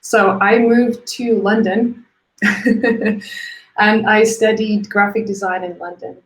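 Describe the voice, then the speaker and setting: A woman's voice: mid-range voice, steady tone, takes it slowly. A woman gives an informal, light-hearted talk as a guest designer on an online call. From Latvia. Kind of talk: informal career talk presentation. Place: indoors, on a live video call. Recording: roomy, echoey online call.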